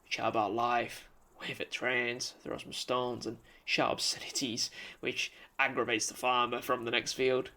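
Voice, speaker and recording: A male actor as a solitary, thoughtful young man in a radio play. His voice has a marked creak at a medium pitch. He muses slowly through poetic thoughts, sometimes halting as if searching for the word. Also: flat delivery, bright, forceful tone. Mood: wistful. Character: pensive solitary young man musing on a bridge. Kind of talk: radio drama monologue.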